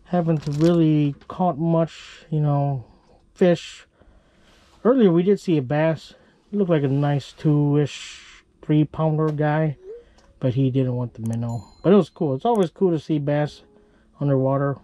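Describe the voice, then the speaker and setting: Man, slow unhurried talk, mellow tone, chuckles, small ice fishing tent, action cam. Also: on the high side. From Minnesota. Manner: relaxed, chuckling, unhurried commentary. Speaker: fishing vlogger. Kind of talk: casual narration while ice fishing.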